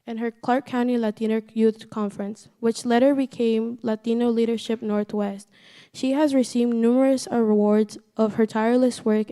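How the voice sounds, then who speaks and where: A woman at a school assembly reading a formal introduction of the keynote speaker, steady, with a few stumbles. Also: fairly high pitch, smooth. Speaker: assembly presenter.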